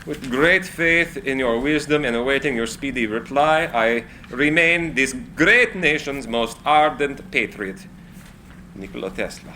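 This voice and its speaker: An actor playing an eccentric, idealistic inventor in a live screenplay reading, theatrical and earnest. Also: male voice, mid-range voice, animated, measured pace, bright, forceful tone, a little hiss or hum, rough recording. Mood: grand, solemn.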